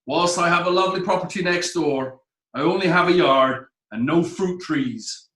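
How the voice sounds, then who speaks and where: An actor playing a nervous defendant who answers the court politely and hesitantly. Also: male voice, fairly high pitch, moderate pace, a bit bright, lightly acted. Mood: deferential. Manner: hesitant, deferential. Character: nervous evasive male defendant, cider maker. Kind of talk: amateur radio drama. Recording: echoey room.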